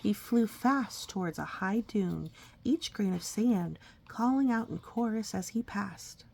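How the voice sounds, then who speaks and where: A woman's voice: medium pitch, expressive, unhurried, gravelly, darkish tone, slightly rough. A woman, a mother, reading a picture book aloud and chatting with her small child about it. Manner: asks and echoes a small child's questions. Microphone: phone recording.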